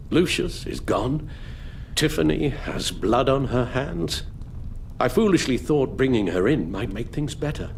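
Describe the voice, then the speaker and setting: Older butler, video game drama. Weary, earnest, formal, very expressive in pitch, guilt in every phrase. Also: male voice, slight background noise. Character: weary elderly male butler, guilt-ridden, grave, fatherly.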